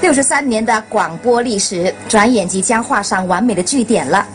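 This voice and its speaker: A woman's voice: mid-range voice, moderate pace, soft background music. A woman speaking as a presenter on a radio show. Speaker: radio host.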